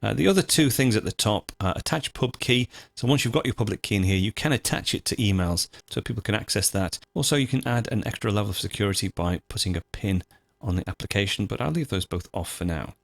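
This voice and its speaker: A man hosting a software tutorial, explaining things step by step in an informal, methodical, conversational way. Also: fairly low pitch, lively intonation, fast, gravelly, no room sound, studio quality. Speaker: host of a software tutorial show.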